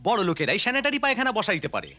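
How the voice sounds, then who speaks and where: A man's voice: high-pitched, huge pitch swings, rapid-fire, bright, forceful tone, full character voice. A man voicing an agreeable villager with a Bangladeshi accent in an educational cartoon.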